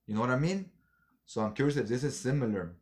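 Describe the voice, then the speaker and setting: A man's voice: mid-range voice, animated, fast talker. A man chatting casually in slangy, informal fan talk as he reviews things for a vlog. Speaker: comic book haul reviewer.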